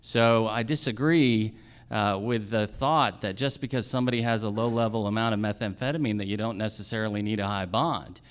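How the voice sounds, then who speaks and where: A man giving measured, explanatory answers as a judicial candidate at a public forum. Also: on the low side, clean tone.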